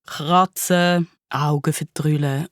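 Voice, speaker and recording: A woman's voice: deep voice, fairly even intonation, slow, no room sound, studio quality. A woman with a Swiss German accent narrating a children's story dramatically.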